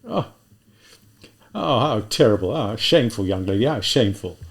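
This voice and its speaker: A man's voice: fairly low pitch, expressive, moderate pace, gravelly. A man doing a fussy, pitying old policeman. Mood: fretful pity. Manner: fretful, clucking pity.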